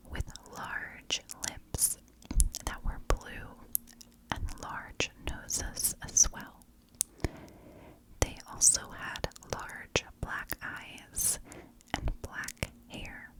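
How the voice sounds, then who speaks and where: Slow, fully whispering woman ASMR storyteller. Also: poor audio. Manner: hushed, unhurried storytelling in short phrases. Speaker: ASMR true crime storyteller, channel host.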